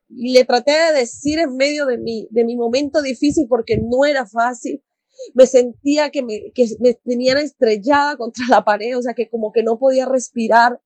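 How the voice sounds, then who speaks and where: A woman speaks in a high-pitched voice. She gives a personal religious testimony, earnestly encouraging her listeners, in a dry recording with very little room sound. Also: a little mellow, no echo. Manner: earnest, exhorting. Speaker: church member giving a testimony.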